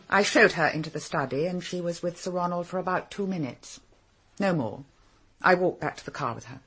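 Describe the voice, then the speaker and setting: An actress playing a cool, haughty English secretary in a radio drama, speaking formally and with composure. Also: female voice, fairly low pitch, moderate pace, a bit bright, breathy edge. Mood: composed, formal. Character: cool, brisk, haughty female secretary to a powerful man. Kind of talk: British radio drama acting.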